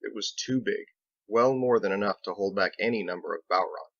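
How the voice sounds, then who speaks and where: A man's voice: lowish voice, fairly quick, creaky, no room sound, muffled recording. A man reading scripted audiobook narration as the author of the story. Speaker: author narrating his own book.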